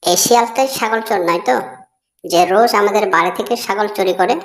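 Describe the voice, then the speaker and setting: A woman's voice: medium pitch, fairly quick, lightly acted. Woman voicing a doting cartoon mother fox. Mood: suspicious. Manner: affectionate, coaxing. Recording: faint music behind.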